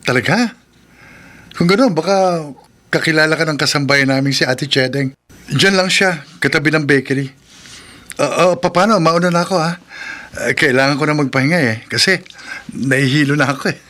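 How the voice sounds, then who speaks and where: An actor with a Filipino accent playing a nervous, eager-to-please taxi driver husband who stammers when uneasy, in a horror radio drama. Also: male voice, on the high side, moderate pace, pauses often, sharp tone. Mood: friendly, uneasy.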